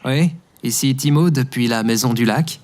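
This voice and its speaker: A man's voice: medium pitch, moderate pace, noisy background, lightly acted. A man playing a friendly, easygoing caller in an audio comedy, a little hesitant and teasing. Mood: friendly, bemused. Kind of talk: scripted audio comedy fiction acting.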